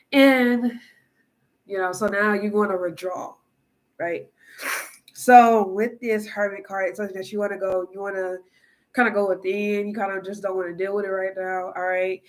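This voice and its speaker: Woman, congested, chatty, tarot reading to camera, keeps saying "okay?". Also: mid-range voice. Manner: chatty, keeps asking "all right?" and "okay?". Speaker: tarot reader.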